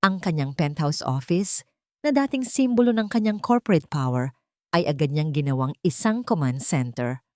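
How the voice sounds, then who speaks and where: A woman's voice: deep voice, animated, normal speed, no echo, clean studio sound. A woman narrating a scripted romance story in a dramatic storytelling style.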